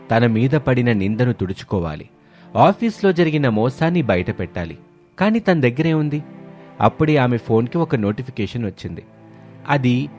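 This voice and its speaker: A man's voice: mid-range voice, darkish tone. A man narrating a family moral story in a scripted, dramatic storytelling style.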